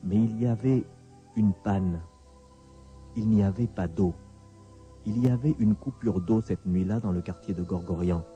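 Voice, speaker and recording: A male radio drama narrator tells the story in short, deliberate phrases. He leaves suspenseful pauses between them, with music playing behind his voice. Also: low voice, warm tone, lo-fi sound.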